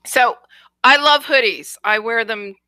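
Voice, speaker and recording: Bright-voiced woman, chatty podcast co-host. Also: fairly high pitch, a little slow, dry recording. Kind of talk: casual chatty storytelling.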